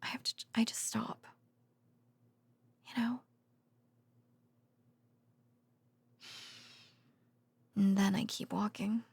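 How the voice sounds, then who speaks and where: Woman voicing a tired loving girlfriend, husky and creaky, hesitant, whispery, close dry bedtime ASMR roleplay. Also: medium pitch, fairly even intonation, darkish tone, exaggerated voice. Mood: wistful, heavy. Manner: hesitant, halting, sighing, drifting into whispers. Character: tender, worn-out female researcher girlfriend confiding at bedtime.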